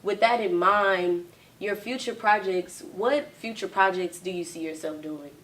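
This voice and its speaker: A woman interviewing an actor in a relaxed way, often affirming with "mm-hmm". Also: medium pitch, unhurried. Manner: friendly, affirming with frequent "mm-hmm". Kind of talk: casual interview. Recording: distant mic.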